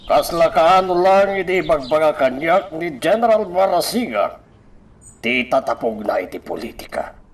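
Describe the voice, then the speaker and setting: A man with a Filipino Ilocano accent playing a haughty, scheming, powerful political boss in a radio drama, deliberate and commanding. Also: a little high. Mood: bitter, brooding.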